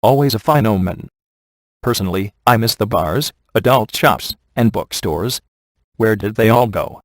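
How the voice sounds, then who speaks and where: A man playing a wisecracking, sardonic ghost hunter in a video game, with a deadpan, offhand delivery. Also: on the low side, a little slow, no room sound. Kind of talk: video game voice acting, comic one-liners.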